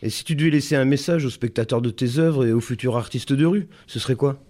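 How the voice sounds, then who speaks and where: A man's voice: medium pitch, fast, dark tone. A man hosting a podcast interview, prompting his guest and finishing his thoughts. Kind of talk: interview questions.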